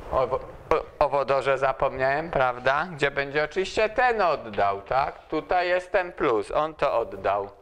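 An older man lecturing at a chalkboard in a large hall, pausing often to ask students questions as he writes. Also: mid-range voice, lively intonation, a bit bright, slight background noise. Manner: slow, asks students questions while writing on the board. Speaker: university lecturer.

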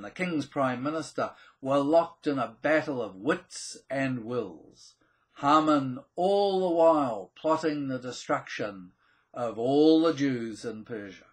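A man presents a scripted explainer to camera, with deliberate, emphatic phrasing, dramatic pauses and a slightly husky voice. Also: mid-range voice. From New Zealand. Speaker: presenter of a theology video channel.

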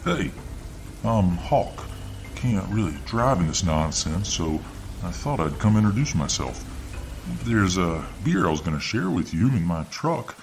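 A man's voice: sits low, very animated, measured pace, faint music behind, voice pushed up, full character voice. A man voicing a friendly, hulking male town hero with a drawl, calling out in a hearty neighborly way. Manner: hearty, neighborly, slightly pleading.

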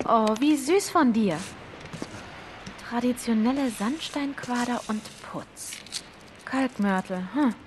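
A woman's voice: on the high side, slow pace, long pauses, a little hiss or hum. An actress playing a plucky, teasing young female adventurer in a cinematic video game. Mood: teasing, confident. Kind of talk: cinematic video game voice acting, German dub.

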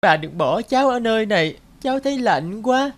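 A woman voicing a poor, freezing little girl in an animated story, plaintive and pleading. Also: on the high side, a little fast, natural voice. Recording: loud music behind the voice.

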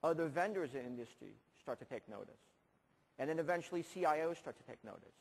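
A man's voice: on the high side, expressive, fast. Keynote presenter, male, 40s, explanatory and technical, often restarting phrases, reverberant hall. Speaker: keynote speaker. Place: large conference hall stage. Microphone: lapel mic.

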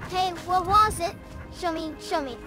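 A woman voicing an eager, excitable little girl in a fantasy video game. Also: very high voice, unhurried, over music. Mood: excited. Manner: eager, childlike. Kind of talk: fantasy game character acting.

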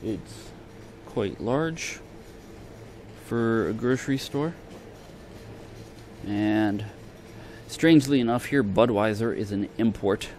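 Slow-talking man, offhand store vlogger. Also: lowish voice, a little mellow. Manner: offhand, hesitant, trailing off in fragments. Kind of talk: casual shopping tour commentary. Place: large indoor supermarket. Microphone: handheld camera from behind it. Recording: clear store ambience noise.

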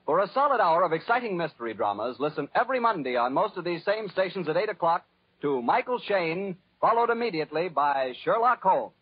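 Lively American radio announcer, man. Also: sits high, brisk, slightly rough. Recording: dull vintage radio recording. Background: music.

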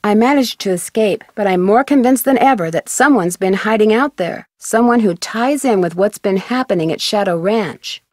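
An actress playing a polite, persistent young amateur detective in a video game, speaking earnestly and precisely. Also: female voice, mid-range voice, moderate pace, few pauses, darkish tone, dry recording, clean studio sound, lightly acted. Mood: earnest, insistent. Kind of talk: video game character dialogue.

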